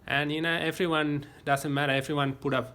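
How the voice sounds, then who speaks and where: Australian male vlogger, phone mic, tense bright tone, loose rambling delivery. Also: a little high, flat delivery, a little fast. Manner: rambling, folksy advice with many fillers and restarts. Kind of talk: casual rambling monologue to a phone camera. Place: home gym. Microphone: phone camera.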